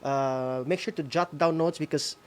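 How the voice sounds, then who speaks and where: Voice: mid-range voice, steady tone, moderate pace. Filipino co-host, hesitant and chatty. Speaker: co-host of a school-on-the-air program. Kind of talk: casual hosting, unscripted reminders to participants.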